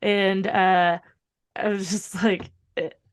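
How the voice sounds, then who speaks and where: Slightly hoarse woman, casual and rambling. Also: mid-range voice, little pitch movement, slow. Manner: rambling, informal, frequent swearing. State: slightly hoarse, recovering from voice loss. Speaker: podcast co-host. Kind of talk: casual chat.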